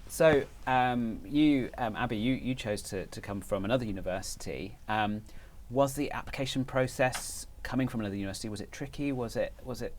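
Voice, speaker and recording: A man hosting a university student panel, upbeat but halting, with many fillers and restarts. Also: mid-range voice. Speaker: panel host, university recruitment head.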